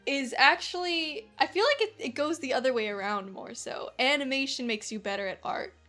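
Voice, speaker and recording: A woman's voice: high-pitched, a little fast, pushed and bright, faint music behind. A woman streaming while she animates, chatting in an easygoing, giggly way and often trailing off.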